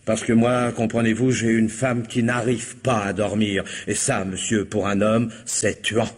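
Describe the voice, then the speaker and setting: A man's voice: on the low side, level tone, slightly rough. A man playing a talkative police commissioner in a radio comedy-mystery, falsely courteous and insinuating, hesitating and drawing out his words. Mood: chatty, ironic. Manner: hesitant, insinuating, mock-polite, drawn-out words. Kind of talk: theatrical French radio comedy-mystery acting.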